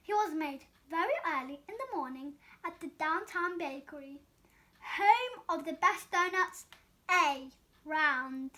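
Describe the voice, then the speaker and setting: A woman reading a children's storybook aloud as an expressive storyteller. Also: high-pitched, measured pace.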